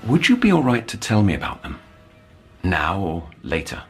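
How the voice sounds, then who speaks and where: Probing counsellor, low, swooping intonation. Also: male voice, huge pitch swings, faint music behind. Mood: probing, solicitous. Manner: formal, measured, coaxing, precise. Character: polite, probing male counsellor to troubled children. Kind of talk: scripted audio drama acting.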